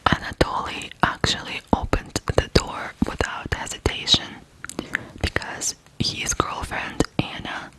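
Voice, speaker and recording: An ASMR host from Ukraine whispering a true crime story in a hushed, deliberate way with pauses. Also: low-quality recording.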